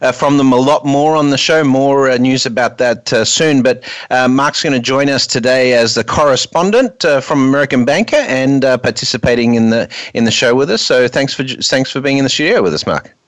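A man's voice: medium pitch, brisk, few pauses, no room sound. A man hosting an unscripted radio show from a studio, often restarting and repeating words as he goes.

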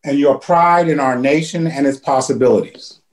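A man's voice: medium pitch, normal speed. Man host in a roomy echo. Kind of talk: reading a guest introduction.

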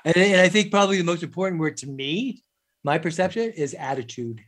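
Chatty man, light higher voice. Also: expressive, fairly quick, fairly bright tone. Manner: chatty, agreeable, many "you know"s, laughs easily. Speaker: interview host. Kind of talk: conversational interview.

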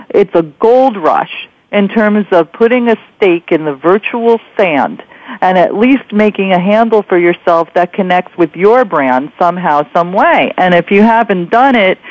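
A woman's voice: mid-range voice, dry recording. Female talk radio host, conversational, emphatic, speaks straight to the listener, close dry sound, a touch muffled. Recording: slightly dull, lo-fi radio sound.

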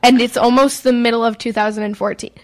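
A woman's voice: fairly high pitch, steady tone, quick pace. A teenage girl co-hosting a kids' talk show, chatty and giggly, often talking over her co-host. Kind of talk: casual chat.

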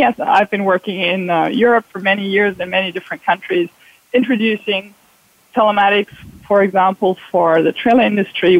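Guy on a radio show, high voice, dull muffled line, lots of ums. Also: no room sound. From Germany. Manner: explanatory, with frequent ums. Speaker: guest, logistics consultant. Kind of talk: radio interview answers.

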